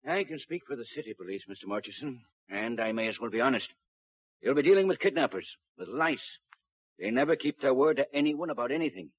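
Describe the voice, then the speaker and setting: An actor playing a blunt, persuasive veteran city police inspector with a raspy voice in a 1950s radio drama. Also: male voice, medium pitch, expressive, fast talker, no room sound. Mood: blunt, hard. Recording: dull, dry 1950s radio recording.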